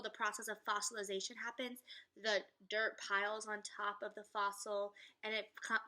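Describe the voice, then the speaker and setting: A woman with a high, strikingly bright voice speaks as a primary school teacher. She explains directly to her young pupils in the style of a read-aloud lesson. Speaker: second grade teacher.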